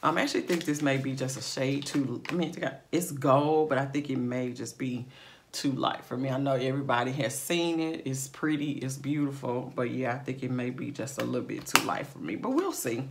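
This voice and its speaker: A woman with a slightly raspy morning voice, chatting casually through a makeup tutorial with plenty of fillers and asides to her viewers. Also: extremely low pitch, fairly even intonation, fairly quick, slight background noise. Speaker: beauty vlogger.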